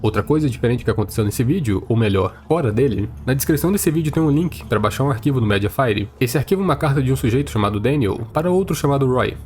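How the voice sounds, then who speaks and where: Fast-talking Brazilian man narrating. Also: medium pitch, lively intonation, a little mellow, slight background noise. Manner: conversational, explanatory. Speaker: video essay narrator. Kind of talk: scripted explainer narration.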